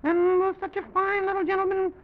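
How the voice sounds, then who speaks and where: A man's voice: little pitch movement, a little fast. A man voicing a fussy, flustered little toy-land president for a children's story show, rambling in folksy exclamations. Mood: fretful. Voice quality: high, creaky. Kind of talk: old-time children's story show character acting. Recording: dull, lo-fi vintage broadcast recording.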